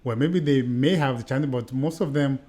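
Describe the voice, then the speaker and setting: A middle-aged man with a Nigerian accent, co-hosting a conversational podcast. He speaks at an ordinary pitch and tells his story earnestly, circling back and repeating phrases as he goes. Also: fairly quick, mellow. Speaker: podcast co-host.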